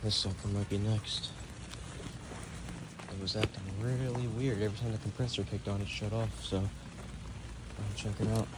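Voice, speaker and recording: A man's voice: fairly low pitch, level tone, moderate pace, long pauses. A man working as an HVAC technician, narrating a job in an offhand, matter-of-fact way outdoors on a phone camera. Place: outdoors beside AC units, rainy. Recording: loud outdoor background noise.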